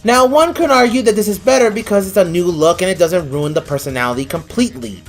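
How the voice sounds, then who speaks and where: A woman's voice: fairly low pitch, quick pace. A woman delivering a scripted video review in a blunt, exasperated, opinionated manner. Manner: blunt, exasperated, freely swearing. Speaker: online anime reviewer. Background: music.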